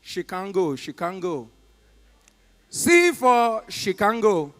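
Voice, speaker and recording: A young Nigerian man doing live stand-up, telling a story and acting out the people in it with quick voices and loud exclamations. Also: high-pitched, very animated. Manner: acts out callers and agents in quick put-on voices, loud exclamations. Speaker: stand-up comedian. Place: comedy club stage.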